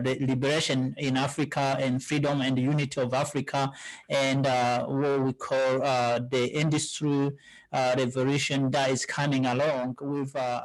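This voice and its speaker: A man's voice: mid-range voice, low-quality recording. A man hosting an interview, asking long questions with many hesitations and fillers. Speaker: interview host.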